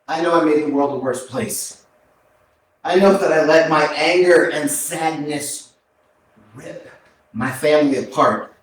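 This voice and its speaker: A man in a staged play reading, acting a remorseful prisoner who confesses to killing his family in halting, subdued, terse phrases. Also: a little high. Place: arts center stage, indoors, recorded from afar. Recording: very echoey hall, distant mic, background noise.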